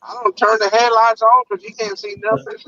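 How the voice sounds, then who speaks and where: High-pitched Southern-accented man, gravelly and lively. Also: normal speed, no room sound. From Puerto Rico. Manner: storytelling, laughs often, stammers on restarts. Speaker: truck driver on a livestream. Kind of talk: casual video chat. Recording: dull lo-fi sound.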